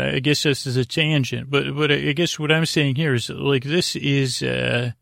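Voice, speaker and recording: A middle-aged man with a gravelly, creaky voice hosts a meandering bedtime podcast. His pitch stays fairly flat as he halts, backtracks and drifts into tangents. The sound is dry and close, with little room to it. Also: no room sound. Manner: meandering, halting, digressive, self-interrupting. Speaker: podcast host. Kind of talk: rambling bedtime podcast monologue.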